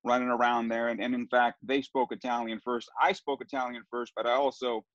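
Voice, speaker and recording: A man's voice: mid-range voice, flat delivery, brisk, a bit bright, dry recording, muffled recording. A middle-aged man from Southern California, a sports broadcaster telling his family's story in a casual interview, rambling with frequent restarts. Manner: rambling, with many restarts and fillers.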